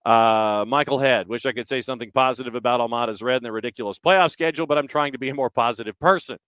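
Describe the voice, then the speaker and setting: A man's voice: medium pitch, fast talker, a bit bright, clean tone, dry recording. A man hosting a casual sports talk show, talking in a wordy way with digressive asides. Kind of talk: casual sports talk and live-read sponsor spots. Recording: music bed behind the voice. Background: background music.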